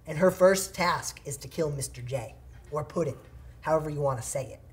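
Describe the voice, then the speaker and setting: A man's voice: a little high, fairly quick. A man in casual banter with friends, building a wild comic story with mock-serious relish. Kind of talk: casual banter, improvising stories.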